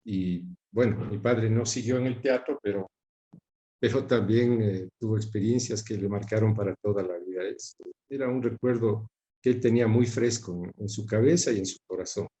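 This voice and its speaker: A middle-aged man with an Ecuadorian accent, reminiscing in an interview and often pausing to search for words. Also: on the low side, mellow, rough recording. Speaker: interview guest recalling his father's career. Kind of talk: reflective spoken recollection in an interview.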